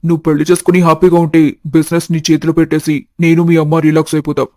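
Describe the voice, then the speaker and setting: A man voicing a genial, practical middle-aged father in an animated moral story. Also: a little high, fast, no echo, natural voice. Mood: persuasive, fatherly.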